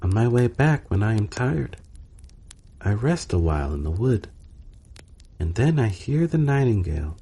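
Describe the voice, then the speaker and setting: A man voicing a poor, gentle young kitchen maid with a wistful, sincere manner in a storybook reading. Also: lowish voice, big pitch swings, measured pace, pauses often, breathy edge, pitched above the performer's own voice, exaggerated voice. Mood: earnest, tender.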